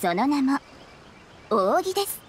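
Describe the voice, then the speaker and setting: An actress playing a polite, eerie contest host in an anime game, speaking formally and teasingly, like someone telling ghost stories. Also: female voice, sits high, big pitch swings, slow pace, noisy background, exaggerated voice. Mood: mysterious. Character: polite, eerie female contest host who relishes scaring people.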